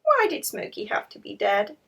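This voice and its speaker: A woman's voice: medium pitch, wildly swooping pitch, moderate pace, creaky, fairly bright tone, breathy edge, full character voice. A woman voicing a curious, earnest young boy in a children's storybook. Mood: sad, puzzled.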